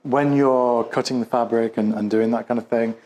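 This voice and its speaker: A man's voice: mid-range voice, fairly even intonation, normal speed, noisy background. A man working as an osteopath and instructor, questioning and explaining in a hesitant way, often repeating and rephrasing his words. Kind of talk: case history questioning and explanatory teaching.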